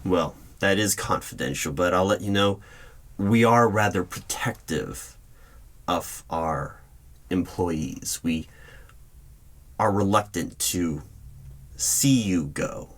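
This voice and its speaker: A man playing a polite, guarded hiring manager in an ASMR interview roleplay, speaking aloud in a measured, formal, deliberate way with pauses. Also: deep voice. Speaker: roleplay performer as job interviewer. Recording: steady noise with keyboard typing clicks. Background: computer keyboard typing and clicks.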